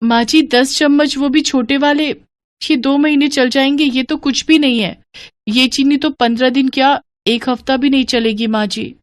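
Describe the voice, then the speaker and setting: A woman's voice: sits high, steady tone, moderate pace, dry recording, pitched above the performer's own voice, natural voice. A young daughter-in-law in a domestic storybook tale, acted by a woman, with a raised, plaintive voice. She protests respectfully and sounds put-upon, in a dry close recording.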